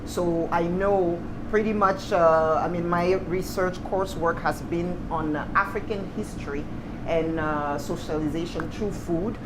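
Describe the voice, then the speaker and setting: A woman's voice: on the low side, fairly even intonation, a little slow. A woman in her thirties with a Cameroonian accent, presenting to camera as a guest chef, casually and emphatically. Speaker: guest chef and restaurant owner. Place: restaurant kitchen, indoors. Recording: clearly noisy kitchen ambience.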